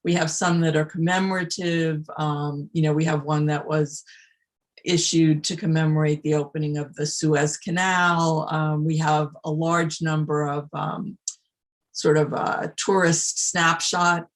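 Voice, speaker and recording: A panel speaker giving an unscripted explanatory answer, deliberate and halting, often pausing to think. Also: medium pitch, level tone.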